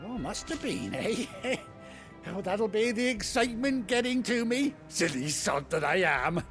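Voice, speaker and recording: An actor playing a cheerful, muddle-headed older herder with an English accent, rambling and self-deprecating in a folksy way, for a video game. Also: male voice, high-pitched, sing-song, a little slow, music in the background.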